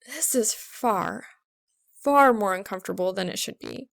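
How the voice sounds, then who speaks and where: A woman narrates a story in an expressive reading style. Her voice sits at a medium pitch with plenty of creak, and the sound is dry, with very little room. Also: measured pace, no room sound. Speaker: fan fiction audiobook reader.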